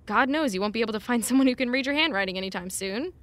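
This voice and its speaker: Woman acting a blunt, higher-voiced assistant. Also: animated, very fast, no echo, close to an everyday voice. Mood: frank. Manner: wry, no-nonsense. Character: blunt, exasperated, caring female personal assistant. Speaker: actor. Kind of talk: naturalistic audio drama acting.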